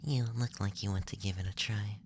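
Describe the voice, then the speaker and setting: A man playing a curious young alien examiner in a whispered ASMR roleplay, speaking in measured, scientific phrases close to the microphone. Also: fairly low pitch, steady tone, noisy background, lightly acted. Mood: matter-of-fact.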